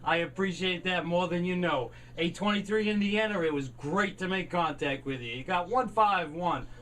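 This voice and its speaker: A man's voice: sits high, moderate pace, fairly bright tone. An American man making contacts as a CB radio operator, trading call numbers and sign-offs in a friendly way. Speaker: CB radio operator and video maker. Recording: noisy, distant mic with radio static.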